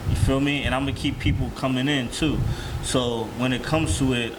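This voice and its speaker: A man with a mid-pitched voice speaks as a self-assured guest in a casual interview. His talk is slangy and peppered with "you know what I mean". There is clear noise from the room behind him. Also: fairly even intonation, brisk. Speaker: interview guest, hip-hop performer. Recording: noisy room with background hum.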